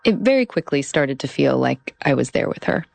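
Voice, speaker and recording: A woman in her thirties hosting and narrating a scripted documentary podcast, measured and deliberate, slowing down on key phrases. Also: extremely low pitch, sing-song, creaky, slight background noise.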